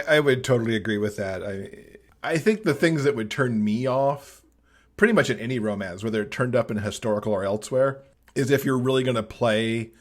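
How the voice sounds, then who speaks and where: A man giving his opinions as a guest in a casual book discussion on a podcast. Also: mid-range voice.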